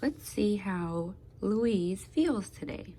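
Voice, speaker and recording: Woman, mid-pitched, very unhurried. Expressive children's read-aloud in a speech therapist's teaching style, with pauses and questions. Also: breathy edge. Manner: expressive storytelling with questions to young listeners; slow, deliberate, with pauses.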